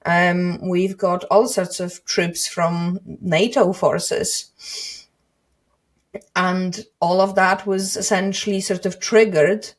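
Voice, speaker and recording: Slow-talking woman host. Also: on the low side, steady tone. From Poland. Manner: thoughtful, hesitant, restarts mid-sentence. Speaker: podcast host. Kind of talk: casual interview chat.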